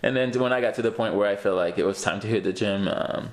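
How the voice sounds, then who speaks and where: A man's voice: lowish voice, fast talker, roomy sound. A young man from Haiti, a guest in a casual interview, talking easily with many fillers and frequent laughs.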